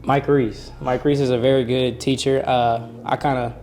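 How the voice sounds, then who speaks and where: A man's voice: medium pitch, flat delivery, moderate pace, warm tone, faint music behind. A man from Indiana, an instructional assistant, answering in a hesitant, casual way and often saying "kinda".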